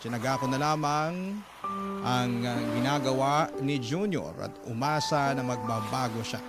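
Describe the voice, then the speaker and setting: A man's voice: medium pitch, a little slow, lightly acted. A man reading an aggrieved young mother's letter as radio drama narration, confiding and sardonic. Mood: confiding, resentful. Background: loud background music.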